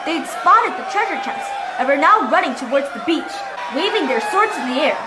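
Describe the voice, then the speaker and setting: A woman narrating a children's book in an expressive read-aloud style, recorded in an echoey room. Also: high voice, moderate pace, a loud crowd, very noisy.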